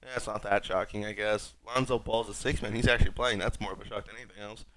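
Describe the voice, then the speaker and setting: A young man with a raspy voice, sick, rambling wryly through casual gameplay commentary as a streamer. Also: fairly low pitch, fairly even intonation, fast, fairly bright tone. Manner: rambling, wry, self-mocking. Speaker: gaming streamer.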